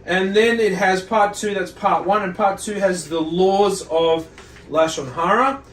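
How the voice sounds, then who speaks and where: A man giving an informal religious lesson, speaking in a halting, deliberate way and often correcting himself as he explains. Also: high voice, a little hiss or hum. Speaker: religious teacher. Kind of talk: informal religious lecture, reading and explaining from a book. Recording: roomy echo, distant microphone.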